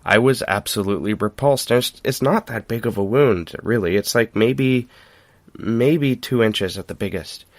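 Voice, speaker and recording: A man hosting a podcast in casual chat, telling things in a halting, informal way with frequent chuckles. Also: on the low side, no echo. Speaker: podcast host.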